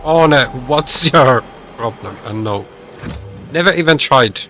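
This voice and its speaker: A man's voice: medium pitch, animated, measured pace, bright, forceful tone, soft background music. A man whose first language is Finnish, chatting casually while streaming a game. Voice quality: chatty, talks over the game.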